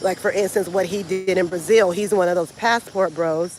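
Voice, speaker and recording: A woman's voice: on the low side, steady tone, moderate pace, a little mellow. American woman vlogging over background noise. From the United States. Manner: conversational, opinionated, colloquial. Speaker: vlogger and travel coach. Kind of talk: casual vlog monologue to camera.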